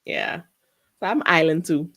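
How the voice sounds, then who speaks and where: Woman guest, relaxed and plainspoken, slightly low pitch. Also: unhurried, a crowd in the background. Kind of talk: casual interview chat.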